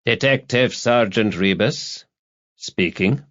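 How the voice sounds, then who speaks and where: A man's voice: on the low side, slow, a bit bright, dull audio. A man voicing a wry, world-weary male detective sergeant in an audiobook, speaking in a dry, terse way. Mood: curt.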